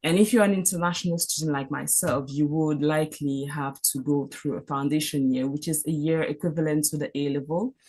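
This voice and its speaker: Low-voiced woman. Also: fairly even intonation, fairly quick, few pauses, darkish tone, breathy edge. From Cameroon. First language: French. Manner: earnest, with frequent restarts and repeated words. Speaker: physician on a career panel. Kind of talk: career advice presentation.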